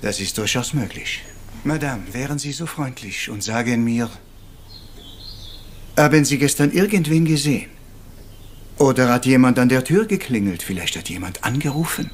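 An actor playing a vain, fussy middle-aged detective in a radio crime drama, formal and theatrical. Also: male voice, mid-range voice, lively intonation, moderate pace, long pauses, slight background noise, natural voice. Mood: solemn, courteous. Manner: formal and theatrical, sprinkled with French courtesies.

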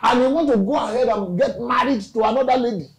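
A man's voice: very high voice, fast talker, slight background noise, low-quality recording. A man with a Nigerian accent playing a stern, accusing male police officer.

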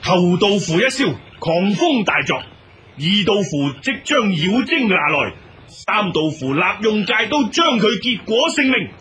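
Pompous, high-pitched monk exorcist. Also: male voice, very animated, unhurried, bright, forceful tone, slight background noise, exaggerated voice. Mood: solemn, incanting. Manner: declamatory, self-assured. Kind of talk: Cantonese radio drama acting. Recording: music behind the dialogue.

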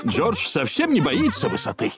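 A woman's voice: fairly high pitch, wildly swooping pitch, normal speed, over loud music. A woman narrating a dubbed cartoon for small children.

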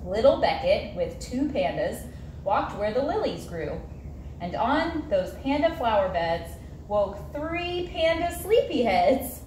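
A woman reads a picture book for children, with a fairly high voice that swoops expressively through each phrase. She takes her time in a sing-song storyteller's way. The space around her sounds roomy and echoey. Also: sing-song, takes it slowly, darkish tone. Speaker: zoo educator and story reader. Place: zoo viewing area beside glass habitat. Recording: roomy echo, light background noise.

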